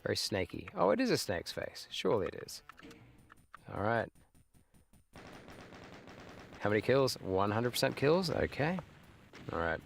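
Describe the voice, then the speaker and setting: Deep, gravelly man in his thirties. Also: big pitch swings, normal speed, faint music behind. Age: 30s. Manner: nostalgic, rambling, with muttered asides. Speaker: livestreamer playing games. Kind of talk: casual live gameplay commentary.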